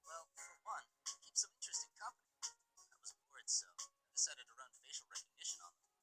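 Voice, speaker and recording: A man voicing a smug, nosy young hacker with a raspy half-whisper and a teasing, knowing way of talking, in a visual novel game. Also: mid-range voice, very animated, brisk, over music, close to an everyday voice, poor audio.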